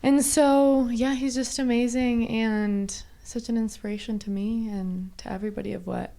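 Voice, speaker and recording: A woman's voice: a little high, fairly even intonation, moderate pace, creaky, warm tone. A young woman co-hosting a podcast, chatting in a bubbly way and often calling to the listeners. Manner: bubbly, chatty, says "you guys" a lot. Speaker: podcast co-host. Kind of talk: casual chat.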